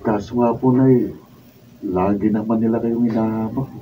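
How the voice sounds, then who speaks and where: An actor playing a respectful, sensible student son in a Filipino radio drama. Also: male voice, lowish voice, steady tone, moderate pace, lightly acted. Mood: earnest, protective. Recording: distant mic, faint noise.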